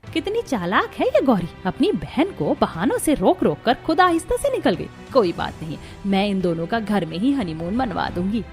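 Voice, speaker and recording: An indulgent middle-aged mother-in-law from a family cartoon, voiced by a woman storyteller. She talks in a managing, declarative way, with soft music behind her. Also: medium pitch, very animated, moderate pace. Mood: knowing, decisive. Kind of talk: animated family drama dialogue.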